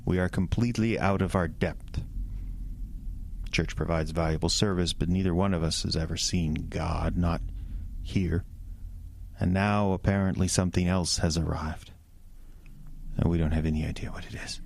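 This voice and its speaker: An actor playing a weary, despairing pastor who is losing his faith in a horror audio drama, speaking haltingly and earnestly. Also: low voice, steady tone, gravelly. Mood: grave, disillusioned.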